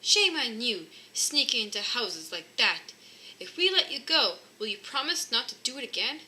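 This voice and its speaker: Storybook papa bear, played by a woman: forgetful, blustering, very bright and swooping. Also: on the high side, big pitch swings, normal speed, pitched above the performer's own voice, exaggerated voice, low-quality recording. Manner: emphatic, absent-minded exclaiming.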